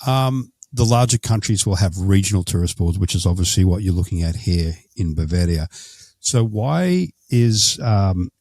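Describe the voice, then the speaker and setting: Low-voiced man hosting a podcast. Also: lively intonation, moderate pace, darkish tone, no echo. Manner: chatty, self-correcting questions. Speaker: podcast host. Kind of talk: casual interview.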